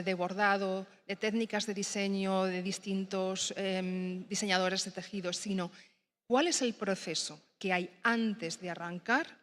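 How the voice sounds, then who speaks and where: A woman whose first language is Spanish, a design teacher speaking on a summit panel in a thoughtful, halting way with many fillers. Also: medium pitch, fairly bright tone.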